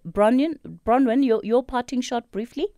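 A woman's voice: a little high, moderate pace, mellow, dry recording. A woman with a South African accent hosting a live radio interview and steering a panel of guests. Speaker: radio talk show host.

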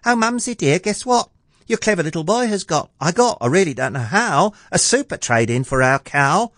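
An excitable little storybook boy, cheeky and eager. The voice is pitched very high and squeals up and down in big swoops. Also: moderate pace, no room sound, clean studio sound. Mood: eager, boastful.

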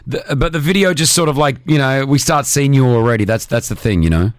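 A man's voice: mid-range voice, a little fast, dry recording. A man hosting a morning radio show from the studio, chatty and teasing with callers and guests. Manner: teasing, chatty, many fillers. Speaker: radio host. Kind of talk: casual radio banter.

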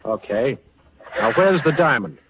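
An actor playing a cocky, commanding amateur detective who wisecracks, in the manner of 1940s radio drama. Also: male voice, mid-range voice, animated, a little slow, background noise, close to an everyday voice. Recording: muffled vintage 1940s radio recording.

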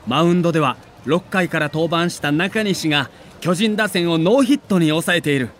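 A man's voice: a little high, normal speed, a little hiss or hum. A man delivering dramatic scripted narration of a sports documentary, with theatrical, emotive storytelling in the role of a reporter.

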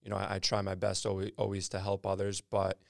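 A man's voice: sits low, monotone, fast, gravelly, no room sound, studio quality. A man hosting a podcast interview, friendly and rambling, repeating words while he thinks. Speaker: podcast host. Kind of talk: casual interview conversation.

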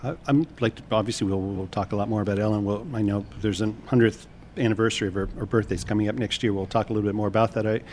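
A man interviewing two guests, asking quick, stumbling questions full of restarts. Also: lowish voice, fairly even intonation, darkish tone, a little hiss or hum.

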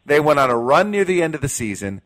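A man's voice: fairly high pitch, sing-song, fast, a bit bright. An American man hosting sports talk radio, giving his opinions emphatically and repeating key phrases to drive them home.

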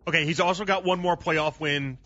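Animated bright-voiced man on sports radio. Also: fairly high pitch, fairly quick. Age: 30s. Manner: animated, argumentative, rhetorical questions. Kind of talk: sports talk radio commentary. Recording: slightly dull radio broadcast with faint music.

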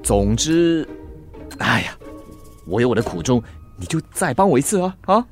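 Man acting evasive young master, lively pitch swings. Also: normal speed, faint music behind, natural voice. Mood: evasive, reassuring. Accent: Singaporean. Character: evasive rich young master, bantering, secretive son. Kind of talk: Mandarin radio drama acting.

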